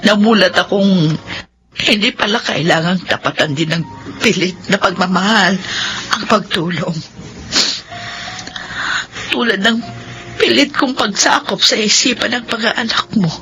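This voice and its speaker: An actress playing an emotional elderly grandmother in a Filipino radio drama, with drawn-out, sighing delivery and a Filipino accent. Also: medium pitch, sharp tone, faint music behind, low-quality recording. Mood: remorseful. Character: elderly grandmothers, emotional in-laws quarrelling and reconciling.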